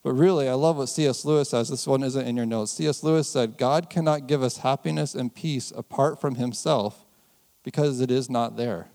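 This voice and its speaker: An American man preaching a sermon in a conversational way, chuckling often and slowing down to stress key phrases. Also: mid-range voice, vocal fry, darkish tone. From United States. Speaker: pastor.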